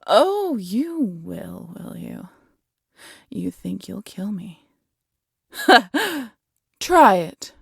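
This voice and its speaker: A woman playing a proud, vengeful demon queen in an audio roleplay, theatrical and menacing, often dropping to a breathy hush. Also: mid-range voice, sing-song, extremely slow, long pauses, no room sound, studio quality, heavily acted. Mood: strained, taunting. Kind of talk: scripted audio roleplay addressed to listener.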